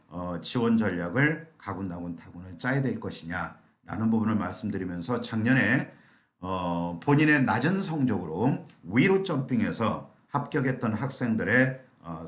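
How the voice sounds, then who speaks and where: A middle-aged man giving an explanatory seminar presentation with a slightly hoarse, strained voice and frequent rhetorical questions. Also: fairly low pitch, a bit bright. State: strained throat from long talking. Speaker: admissions consultant giving a lecture. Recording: echoey room.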